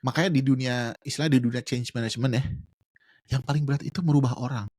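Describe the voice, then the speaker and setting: A man's voice: mid-range voice, fairly even intonation, fast, a little mellow, breathy edge, no echo, studio quality. A man, explaining at length in casual podcast chat, slangy and mixing in English words. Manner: explains with examples, slangy Jakarta register, mixes in English words. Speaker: guest.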